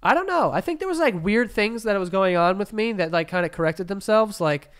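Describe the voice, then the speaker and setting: A man's voice: sits high, animated, a little fast, clean tone. A young man with a slightly congested, nasal voice, chatting and rambling casually as a podcast co-host in a living room on a desk mic. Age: young adult. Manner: rambling, trails off and laughs. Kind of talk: casual chat. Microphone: studio mic on desk stand, headphones.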